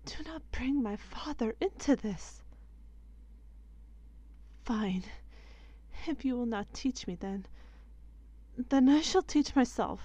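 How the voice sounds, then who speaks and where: A woman playing a young princess, stubborn yet unsure of herself, in a soft, hesitant ASMR roleplay. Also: on the high side, expressive, takes it slowly, long pauses. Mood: pleading, defiant. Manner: soft, hesitant, breathy asides.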